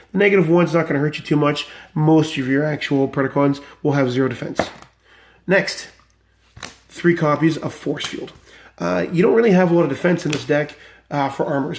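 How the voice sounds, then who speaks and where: Enthusiastic male deck reviewer, slightly high voice, still recovering from illness, chatty and rambling. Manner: enthusiastic, rambling with many fillers. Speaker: card game deck reviewer. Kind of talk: casual deck walkthrough.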